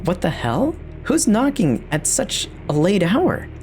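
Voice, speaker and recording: A woman playing a worried, frightened but defiant wife in a horror story, with an urgent, expressive delivery. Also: mid-range voice, slow, faint music behind, heavily acted. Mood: alarmed.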